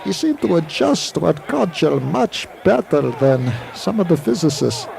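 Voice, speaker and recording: A man playing a calm scientist in a 1980s radio drama, explaining things patiently and matter-of-factly. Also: fairly high pitch, normal speed, creaky, full character voice. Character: calm male scientist who fetched Shakespeare.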